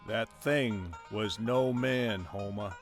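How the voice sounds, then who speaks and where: A man's voice: fairly low pitch, slow pace, soft background music. A man voicing a worried, insistent townsman, stern and grave, in a horror radio play.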